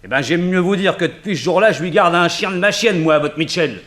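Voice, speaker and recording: An actor playing a blunt, grudge-bearing country farmer in a 1960s French radio drama, with rustic colloquial speech. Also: male voice, sits high, fast, a bit bright. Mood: grudging.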